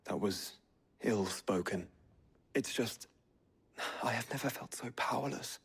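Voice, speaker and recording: Troubled fantasy game noble, really breathy n husky, low, formal wording. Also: male voice, a little slow, exaggerated voice. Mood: apologetic, weary. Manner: formal, archaic phrasing. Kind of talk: dramatic video game voice acting.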